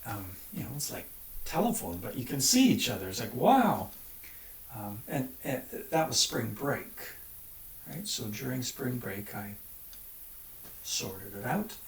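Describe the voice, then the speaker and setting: Animated man in a roomy recording. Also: mid-range voice, vocal fry. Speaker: private violin teacher. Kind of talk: casual interview recollection. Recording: roomy, distant mic, faint noise.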